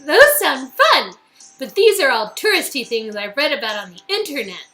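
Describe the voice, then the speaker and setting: An eager, teasing young woman from a romance game, played by a woman. Her high voice is bright and swings widely, perky and full of curiosity. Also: sing-song, moderate pace, faint music behind, full character voice. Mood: curious. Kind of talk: visual-novel dialogue reading.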